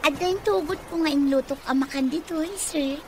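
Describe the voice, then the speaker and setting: High-pitched doubtful young daughter played by a woman. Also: brisk, over music, natural voice. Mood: complaining. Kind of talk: Ilocano radio comedy-drama acting. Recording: faintly noisy radio recording.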